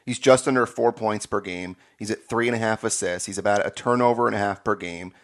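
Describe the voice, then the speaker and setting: A man hosting a casual sports podcast, energetic and quick to laugh. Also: on the low side. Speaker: podcast host.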